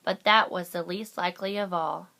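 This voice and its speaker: A woman's voice: on the low side, steady tone, normal speed, vocal fry, bright, forceful tone, a little hiss or hum. A woman narrating a children's picture book aloud as a storyteller. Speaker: storybook reader.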